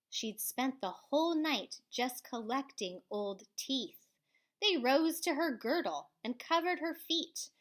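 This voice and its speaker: A woman reads a children's story aloud in a theatrical, expressive way. Her pitch is fairly high and swoops widely. She goes slowly, dropping into hushed tones and swelling again. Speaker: elementary school librarian reading aloud.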